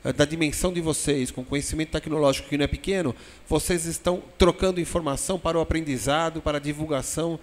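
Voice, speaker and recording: A man hosts an interview in a wordy, effusive style, with formal courtesies and halting phrasing. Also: a little high, steady tone. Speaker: host of a web TV programme. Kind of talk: conversational interview hosting. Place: glass studio inside a shopping mall. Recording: long reverb of a hall-like room.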